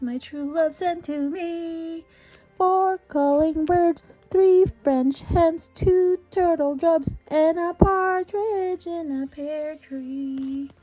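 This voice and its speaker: High-voiced woman reading slowly to children. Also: dark tone. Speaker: children's storybook reader. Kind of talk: sing-song read-aloud of a Christmas verse for children. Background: background music.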